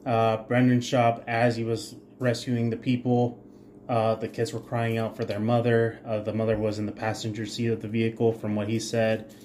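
A man co-hosting a podcast, telling stories conversationally in a halting, informal way with casual swearing. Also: fairly low pitch, monotone, a little mellow, slight background noise. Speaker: podcast co-host.

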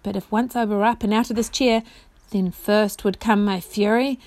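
A woman voicing an anguished bewitched knight in a storybook, pleading in courtly, archaic words with drawn-out, groaning delivery. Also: mid-range voice, fairly even intonation, a little mellow, close to an everyday voice. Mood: urgent, dreading. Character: anguished bewitched knight, a desperate young man pleading in bonds.

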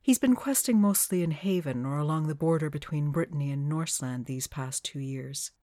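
Lady as a low voiced witch, stern n unimpressed, dark tone, audio drama. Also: normal speed, close to an everyday voice. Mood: matter-of-fact. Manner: dark-toned, firm. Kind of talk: acted fiction podcast dialogue.

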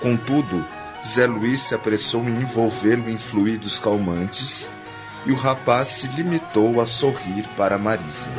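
A man's voice: on the low side, unhurried, natural voice. A man narrating a scripted radio drama. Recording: dull radio play with background music.

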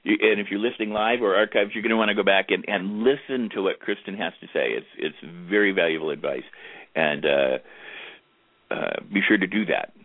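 A man's voice: lowish voice, a little fast, no echo. A man hosting an internet radio interview show, chatting in an easygoing way as he plugs the show and introduces his guest. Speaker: radio talk show host. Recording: tinny phone-like line, dry.

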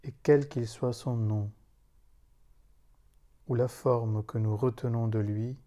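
A man recites solemn spoken poetry in a very mellow, dark voice. His delivery is slow and meditative, with grave pauses between short phrases. The intonation stays flat and even throughout. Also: mid-range voice. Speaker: meditation leader reciting own poem.

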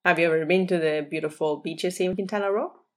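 A woman hosting a bilingual Spanish lesson podcast, speaking with a blend of Mexican and Colombian accents in a calm, measured way and repeating each sentence in English. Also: lowish voice. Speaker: Spanish teacher and podcast host.

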